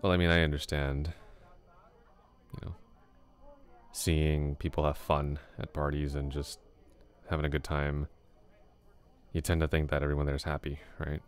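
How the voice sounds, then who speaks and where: A man playing a laid-back flirty classmate, very deep. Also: level tone, normal speed, long pauses. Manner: casual, easygoing, chuckles often. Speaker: ASMR roleplay voice actor. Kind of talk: ASMR roleplay, soft close talk.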